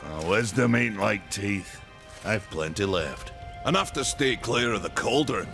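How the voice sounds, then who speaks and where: Weary old warrior gatekeeper, gruff, rustic, gravelly, slow, lively pitch. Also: male voice. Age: older. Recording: game music behind the voice.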